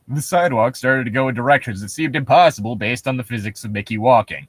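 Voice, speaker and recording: A man's voice: medium pitch, quick pace, slightly rough, dry recording. A man co-hosting casual comedic commentary on internet horror stories, joking and swearing as he goes. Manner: joking, swearing, breaking into laughter. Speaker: co-host.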